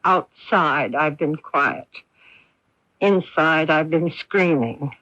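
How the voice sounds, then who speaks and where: An actress playing a middle-aged mother, wounded, bitter and quietly desperate, speaking with halting, emphatic repetitions in a radio drama. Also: sits low, no room sound, muffled recording, exaggerated voice. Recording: band-limited 1980s AM radio broadcast.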